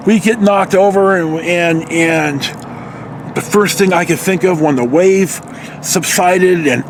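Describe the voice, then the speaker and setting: A man's voice: fairly high pitch, slight background noise. An American man in his early sixties tells a personal story casually, in a rambling, salty-tongued way. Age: early 60s. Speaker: vlogger telling personal stories. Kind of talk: casual anecdotal storytelling to camera.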